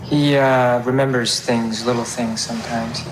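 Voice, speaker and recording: A man's voice: mid-range voice, level tone, a little slow, in a large hall, background noise, lightly acted. A man acting in film drama dialogue, playing a character talking with and about a patient. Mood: measured.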